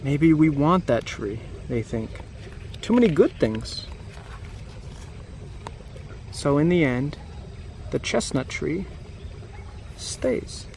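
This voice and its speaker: Slow-reading man with a slightly creaky voice. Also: a little high, big pitch swings, darkish tone. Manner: slow, deliberate, simple phrasing with questions to young listeners. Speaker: host reading a picture book to children. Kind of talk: read-aloud storytelling with chatty asides. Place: outdoors in a grassy, wooded yard. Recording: outdoor camera audio with background noise.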